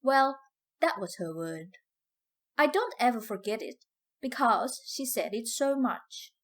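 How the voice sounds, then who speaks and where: Casting: female reader as older Southern US freedwoman, higher-pitched, unhurried, proud and fiery, emphatic dramatic storybook dialect. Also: big pitch swings, fairly bright tone, heavily acted. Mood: proud, indignant.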